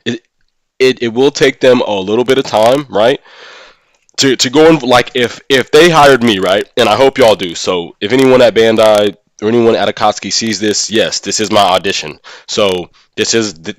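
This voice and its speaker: Low-voiced man chatting on a livestream. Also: normal speed, no echo. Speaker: live streamer and gaming content creator. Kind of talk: casual livestream discussion of a mobile game.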